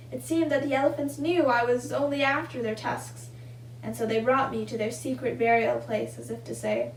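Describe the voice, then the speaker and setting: A woman's voice: high-pitched, a little mellow, a little hiss or hum, poor audio. A young woman reading a children's story and giving simple craft instructions. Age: young adult. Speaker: volunteer storybook reader and craft demonstrator.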